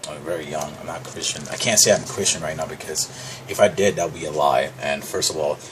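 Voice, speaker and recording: A man's voice: rumbling low, a little fast, a bit bright, a little hiss or hum, rough recording. A young man from Puerto Rico whose first language is Spanish, rambling through a casual show-and-tell with many fillers and restarts. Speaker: vlogger. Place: dim room at a desk. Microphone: handheld camera pointed at desk.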